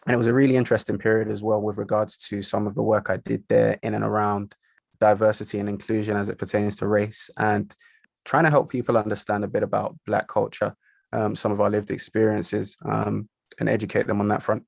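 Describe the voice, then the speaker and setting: A young man is a guest on a podcast, and he speaks in a low, flat, gravelly voice. He pauses with frequent ums while recounting his work history. The sound is dry and close to the mic. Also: no room sound. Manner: hesitant, lots of ums. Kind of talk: podcast interview.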